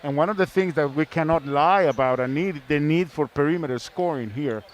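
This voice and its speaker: Higher-voiced man on a sports panel. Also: fairly quick. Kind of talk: pre-game sports panel discussion. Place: sports arena. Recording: faint venue noise.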